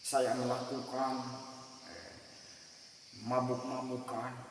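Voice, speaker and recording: Young man from Central Kalimantan, earnest testimony, slow, in a reverberant hall with faint noise. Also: mid-range voice, monotone. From Central Kalimantan, Indonesia. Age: 20s. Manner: halting, earnest, in short phrases. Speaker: speaker giving a personal testimony. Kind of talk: religious testimony to a congregation. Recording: very echoey hall, mic far off, faint noise.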